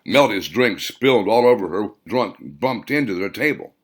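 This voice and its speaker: A man reading his own novel as an audiobook, with dramatic, expressive storytelling. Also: fairly low pitch, moderate pace. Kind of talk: scripted audiobook narration.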